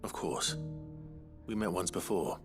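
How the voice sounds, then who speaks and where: An actor playing a terse, serious young lord in a fantasy game, understated in manner. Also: male voice, sits low, a little slow, faint music behind. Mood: reserved. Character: low-voiced, terse nobleman, a marquis. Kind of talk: scripted fantasy game dialogue.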